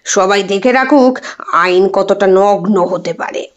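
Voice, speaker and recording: A woman's voice: medium pitch, muffled recording, pitched below the performer's own voice, close to an everyday voice. A woman voicing a cold, menacing male betrayer in an audio story. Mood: cold menace. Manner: cold, slow-burning threat.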